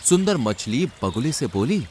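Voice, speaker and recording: A male narrator tells a scripted children's story with animated, swinging intonation. His voice is medium in pitch, with faint noise behind it. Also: normal speed.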